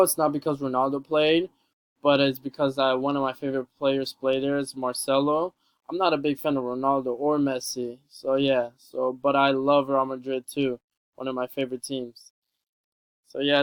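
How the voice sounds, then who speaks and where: A man's voice: mid-range voice, clear, resonant tone, no room sound. A young man and college student giving a casual self-introduction, rambling with many fillers and restarts. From San Francisco Peninsula, California. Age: young adult.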